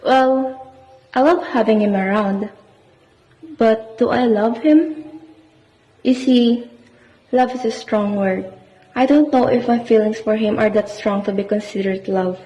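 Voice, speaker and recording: A woman's voice: fairly high pitch, measured pace, long pauses, dark tone, close to an everyday voice. A woman playing a composed, kindly female doctor in an amateur radio play. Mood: reflective, guarded. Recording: dull audio with long echoing reverb.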